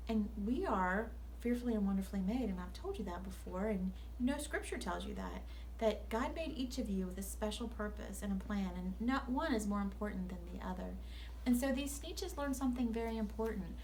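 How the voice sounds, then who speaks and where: A woman's voice: mid-range voice, expressive, a little fast, a little mellow, rough recording. Woman teacher at story time, faint noise. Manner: earnest, instructive. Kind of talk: children's story time, reading and explaining.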